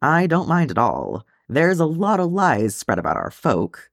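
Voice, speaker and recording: A man voicing a hearty, good-humoured, proud dwarf in a storybook reading, with measured, old-fashioned phrasing. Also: a little high, huge pitch swings, no echo, clean studio sound, pitched above the performer's own voice, exaggerated voice. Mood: gracious.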